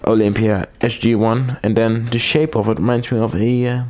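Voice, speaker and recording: A man with a raspy voice, recovering from a cold, casually reviewing a typewriter at his desk, rambling with fillers and restarts. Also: mid-range voice, steady tone, normal speed, lo-fi sound. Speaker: typewriter collector reviewing a machine. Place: small room at a desk, indoors. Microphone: camera mic, speaker off-camera.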